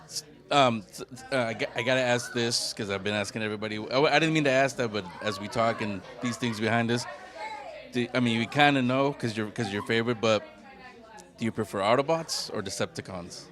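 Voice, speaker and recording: Friendly male interviewer, mid-pitched. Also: a little fast, a bit bright. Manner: friendly, stammers on restarts. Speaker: interviewer, host. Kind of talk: casual interview. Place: art gallery at an art walk.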